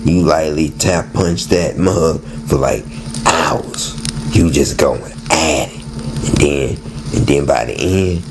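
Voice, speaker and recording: A man's voice: rumbling low, slow pace, noisy background. A man giving a casual, rambling spoken monologue in slang, often repeating words and restarting phrases. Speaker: narrator and storyteller.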